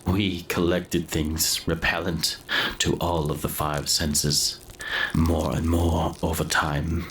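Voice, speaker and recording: A man's voice: very deep voice, fairly bright tone, a little hiss or hum, heavily acted, rough recording. An actor playing a doomed grave robber narrating his confession in a gothic horror audio drama, with a grave, florid, brooding manner.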